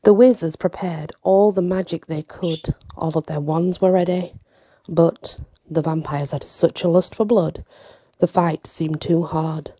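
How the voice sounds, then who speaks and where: A woman reading an ASMR bedtime fantasy story with deliberate, dramatic phrasing and short pauses, close to the mic. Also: on the low side, dark tone.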